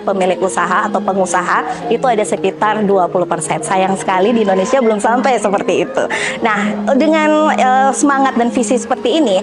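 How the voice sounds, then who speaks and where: Young woman restaurant owner over music. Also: mid-range voice. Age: young adult. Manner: measured, with frequent hesitation fillers. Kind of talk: interview testimonial. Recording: music bed behind voice.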